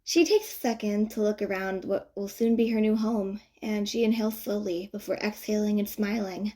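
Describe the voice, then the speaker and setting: A woman narrates a story in a mid-pitched voice. Her reading is scripted but conversational, with expressive, playful emphasis on asides. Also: normal speed. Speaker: audiobook narrator of fan fiction.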